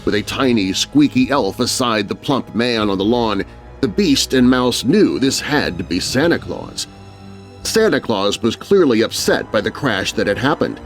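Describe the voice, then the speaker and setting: A man's voice: mid-range voice, sing-song, normal speed. A man narrating a spooky rhyming children's story in a theatrical, dramatic way. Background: music.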